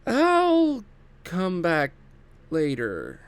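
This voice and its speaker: A man puts on a young woman crew member in a sci-fi audio drama. She is chirpy and presentational, pitched higher than the reader's own voice. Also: wildly swooping pitch, extremely slow, smooth, exaggerated voice. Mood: chirpy, presenting.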